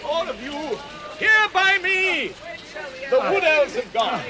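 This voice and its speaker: An actor playing a proud, exasperated dwarf leader in a commanding, declamatory vintage radio drama style. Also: male voice, extremely high pitch, sing-song, slow, full character voice. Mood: urgent, shouting. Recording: noisy old radio drama recording.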